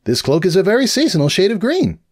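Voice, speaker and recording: A man's voice: high-pitched, wildly swooping pitch, fast, darkish tone, pitched above the performer's own voice, exaggerated voice. A man voicing a young female undead pony sorceress, stubbornly optimistic, eager and breezy in a comic storybook reading. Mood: earnest. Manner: eager, breezy, brushing off problems.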